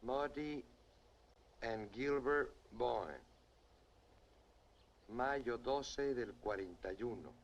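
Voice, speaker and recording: A dull, faintly noisy old film recording of a male investigator. His mid-pitched voice is calm, measured and sympathetic. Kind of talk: 1950s film acting.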